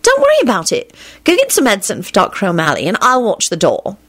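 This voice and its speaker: A woman voicing a feisty, sharp-tongued young woman in a storybook reading, swinging between scolding and gushing. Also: medium pitch, huge pitch swings, fast, full character voice. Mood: irritated.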